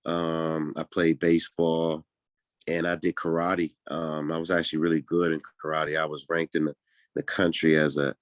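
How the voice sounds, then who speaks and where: Deep-voiced relaxed man, podcast guest. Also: dry recording, studio quality. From Pittsburgh, United States. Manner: relaxed and easygoing, many ums and restarts. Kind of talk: casual interview talk.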